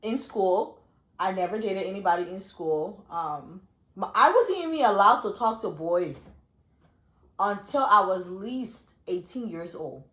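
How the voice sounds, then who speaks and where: Liberian-born woman co-hosting, swoopy lively voice, tells stories with lots of laughing, echoey room. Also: medium pitch, measured pace. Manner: expressive storyteller, breaks into laughter. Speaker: co-host. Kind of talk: casual chat.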